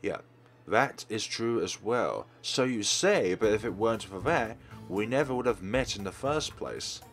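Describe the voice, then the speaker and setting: A man's voice: fairly low pitch, animated, a little slow. A man hosting a let's play, reading a visual novel's text aloud and dropping casual asides between the lines. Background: faint game music.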